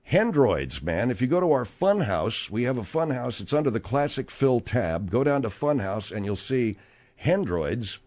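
Brief: male talk-radio host, mid-pitched, brash, plugging and teasing. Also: sing-song, a little mellow. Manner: brash, quick plugs and teasing.

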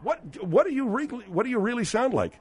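A man hosting a radio talk show, halting with many fillers as he quizzes his guests. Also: very high-pitched, very animated, breathy edge.

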